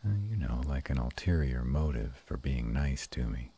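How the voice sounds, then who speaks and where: A man playing a gruff but tender were-dragon lover in an intimate romantic audio roleplay, murmuring slowly and haltingly in a gravelly, husky voice. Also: very deep voice, warm tone, exaggerated voice. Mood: thoughtful.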